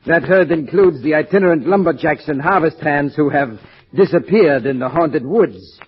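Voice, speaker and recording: An actor playing a sadistic, megalomaniac scientist villain in a pompous, theatrical 1940s radio thriller style. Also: male voice, fairly high pitch, normal speed, natural voice. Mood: cruel, commanding. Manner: pompous, theatrical, relishing menace. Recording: dull lo-fi old-time radio recording.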